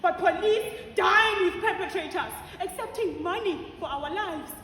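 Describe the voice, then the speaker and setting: Actress doing south african mom on trial, high voice, defiant n hurting, stage play, distant echoey noisy recording. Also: female voice, a little slow, a bit bright. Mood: anguished, indignant. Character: defiant, grieving 32-year-old mother on trial. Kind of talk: theatrical courtroom stage acting. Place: large auditorium stage.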